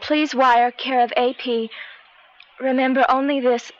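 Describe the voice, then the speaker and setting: Torn, lovelorn wife, high voice, radio drama, muffled recording. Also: female voice, flat delivery, unhurried. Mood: reading, moved. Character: wounded, lovelorn estranged wife confiding in priest or father. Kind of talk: 1970s radio drama acting. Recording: dull old radio recording.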